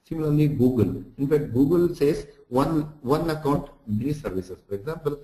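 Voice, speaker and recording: A man's voice: on the high side, animated, moderate pace, warm tone. A man lecturing through slides for an online lesson, explaining didactically with examples and recaps. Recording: echoey room sound.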